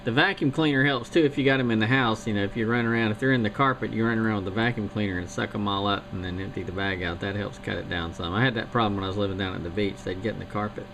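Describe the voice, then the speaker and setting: A man chatting easily on amateur radio, talking through gear and antennas in a relaxed, technical way. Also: on the low side, racing, vocal fry, few pauses. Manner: easygoing, technical talk. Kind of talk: casual radio chat. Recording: hissy shortwave radio signal.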